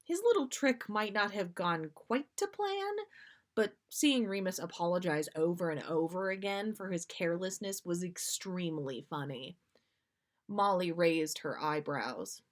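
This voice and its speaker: A woman's voice: lowish voice, animated, unhurried. A woman reading fiction aloud as a storyteller and podcast host.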